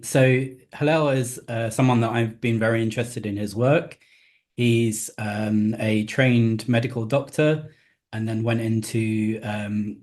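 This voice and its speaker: A man's voice: mid-range voice, darkish tone. A man hosting a podcast, introducing his guest in a loose, hesitant way with many false starts. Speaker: podcast host.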